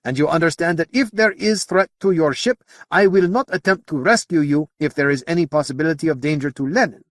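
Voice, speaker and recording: A man's voice: a little high, a little fast, dry recording, studio quality, voice pushed up, lightly acted. A man voicing a stern, commanding admiral of a space navy, speaking formally in an audiobook.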